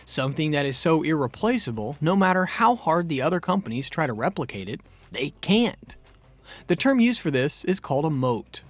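A man reading a scripted explainer narration in a smooth, read-aloud delivery. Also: fairly high pitch, very animated, moderate pace, no echo.

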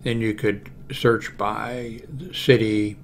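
An American man in his seventies giving a casual, unscripted computer walkthrough, haltingly thinking aloud. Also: on the low side, fairly even intonation, a little hiss or hum. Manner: halting, thinking aloud while clicking through pages. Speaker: video blogger giving a how-to demonstration. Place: small room at home, indoors. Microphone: large desktop microphone close to the mouth.

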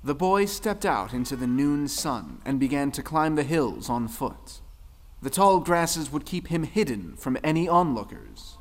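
A man's voice: fairly high pitch, very animated, unhurried. A man giving scripted narration for a folktale audio drama. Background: music.

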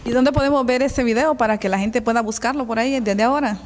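A woman's voice: a little high, quick pace, in a large hall. A middle-aged woman interviewing a singer on a small studio set, asking questions into a handheld mic and making encouraging remarks.

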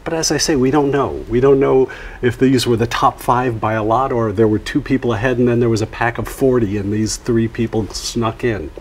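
A man in his fifties giving conversational commentary as a film critic, thinking aloud haltingly. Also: medium pitch, steady tone, husky. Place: TV studio.

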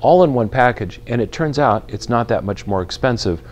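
A man in his fifties, filmed in a large indoor store with a lapel mic, explaining equipment to camera in a clear, measured, practical way. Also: medium pitch, lively intonation, darkish tone, a little hiss or hum. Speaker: retail company spokesperson presenting boating gear.

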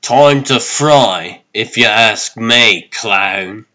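Mercenary rabbit boss, acted by a man, lowered voice, cocky, cool, taunting, comic-book villain. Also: extremely slow, heavy background noise. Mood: cocky threat.

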